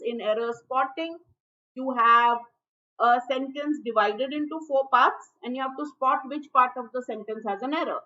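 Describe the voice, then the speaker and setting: A woman with a high, light voice teaches exam in a chatty way, with fillers and restarts. Her live stream sounds dull and muffled, as if through a weak mic. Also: a bit bright. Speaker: English teacher for law exam prep. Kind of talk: online exam prep lesson. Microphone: low-level stream mic. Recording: dull, muffled livestream audio.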